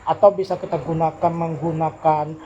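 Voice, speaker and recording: A middle-aged man answering an informal interview, explaining things step by step in a halting way. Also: a little high, flat delivery, mellow, muffled recording. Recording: noisy recording with vehicle sounds behind.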